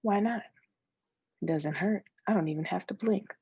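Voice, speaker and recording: Woman acting a husky, needy young girl. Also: medium pitch, normal speed, dry recording, muffled recording. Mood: boastful. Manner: childlike, insistent, questioning. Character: proud, needy young girl craving reassurance.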